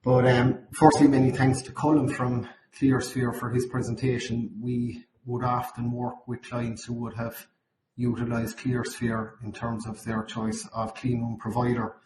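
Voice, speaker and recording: Irish male webinar presenter, slightly croaky, monotone, recorded in an echoey space with faint noise. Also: mid-range voice, a little slow. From Ireland. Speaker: account manager presenting at a webinar. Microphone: video call. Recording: roomy, noisy webinar call audio.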